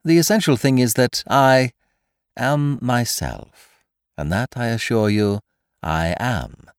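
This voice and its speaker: A man voicing a smug, dapper gentleman villain in a storybook reading, teasing his victim with theatrical mock politeness. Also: mid-range voice, takes it slowly, clean tone, no room sound, clean studio sound, voice pushed up. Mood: smug, playful.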